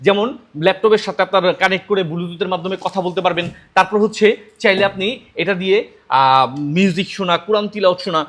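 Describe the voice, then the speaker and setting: A Bangladeshi man demonstrates a product like a practiced shop salesman. His voice is very high for a man and he talks quickly, piling up emphatic, repeated selling phrases. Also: fairly bright tone. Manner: repetitive, emphatic selling patter.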